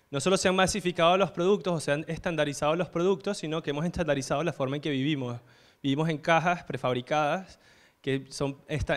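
A man's voice: fairly high pitch, clean tone. A man in his thirties from Venezuela with a Venezuelan Spanish accent, giving a conference talk on stage into a handheld mic, hesitant, with many fillers and tag questions. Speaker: conference speaker. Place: stage at an indoor event hall.

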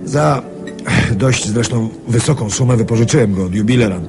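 Smug swindler for radio drama, male actor: sly raconteur, medium pitch, music behind. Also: moderate pace, natural voice. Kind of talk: radio drama acting, storytelling.

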